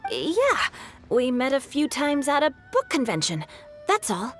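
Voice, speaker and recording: A woman's voice: high-pitched, wildly swooping pitch, unhurried, a bit bright, soft background music, full character voice. A woman voicing a polite, bookish teenage boy in a video game, articulate and a little sheepish. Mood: sheepish, earnest. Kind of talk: video game character dialogue.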